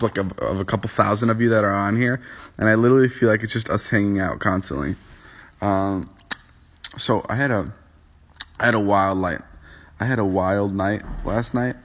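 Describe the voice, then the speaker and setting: A young man in his twenties, just woken up and groggy, chatting casually on a phone livestream from home, rambling and joking as he answers comments. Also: lowish voice. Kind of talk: casual livestream chat to viewers. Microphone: phone livestream app.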